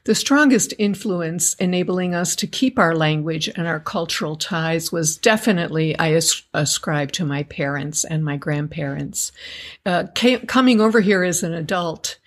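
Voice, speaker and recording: A middle-aged woman from the United States, answering interview questions in a measured, articulate way. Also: low-pitched. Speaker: guest, educators association member.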